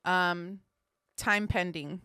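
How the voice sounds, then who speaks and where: A woman's voice: lowish voice, fairly even intonation, very slow, bright, forceful tone, clear, resonant tone, no room sound, clean studio sound. A woman co-hosting a podcast, speaking in a direct, encouraging way. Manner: encouraging and direct, with frequent affirming murmurs. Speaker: podcast co-host. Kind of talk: casual podcast conversation.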